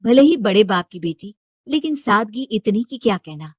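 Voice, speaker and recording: A woman's voice: medium pitch, very animated, normal speed, breathy edge, dry recording, studio quality. A woman reading a scripted story as a dramatic narrator.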